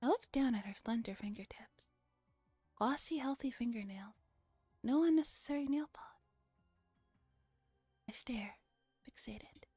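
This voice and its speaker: Woman as theatrical paranoid young male scientist for a visual novel, mellow delivery. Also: a little high, measured pace, pauses often, slightly rough, no room sound, clean studio sound, soft background music. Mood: wary, brooding. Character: dramatic paranoid young man, self-styled mad scientist. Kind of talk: visual novel read-aloud.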